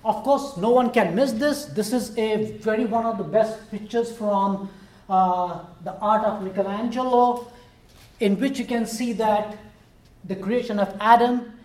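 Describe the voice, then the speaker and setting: A man's voice: high voice, measured pace, a little mellow. Man, Indian accent, biology professor, chatty lecture, echoey hall, distant mic. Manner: chatty and self-deprecating, with fillers and jokes. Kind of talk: university lecture. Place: lecture room. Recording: roomy hall, heard from far.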